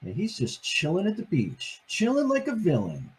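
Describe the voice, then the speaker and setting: Older man, grandfather type, husky and lively, reading a picture book to the grandkids, playful asides. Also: high-pitched, normal speed, poor audio. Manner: playful, chatty, asks the listeners questions.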